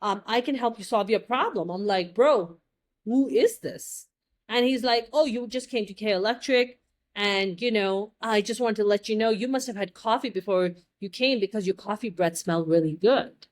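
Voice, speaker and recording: A young adult American woman telling stories in a casual chat, lively and blunt, joking and swearing freely. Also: mid-range voice, moderate pace. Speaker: guest.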